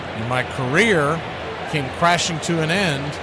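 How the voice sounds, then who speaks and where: High-pitched man calling baseball over noise. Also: a little slow. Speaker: baseball play-by-play radio host. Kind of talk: play-by-play commentary mixed with casual chat.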